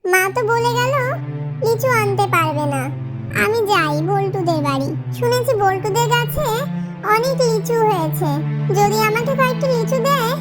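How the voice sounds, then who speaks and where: Very high-pitched little cartoon fox girl. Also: lively intonation, moderate pace, over loud music. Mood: pleading, sad. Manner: childlike, pleading. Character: sweet, pleading little fox girl, poor and kind. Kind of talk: children's cartoon dubbing.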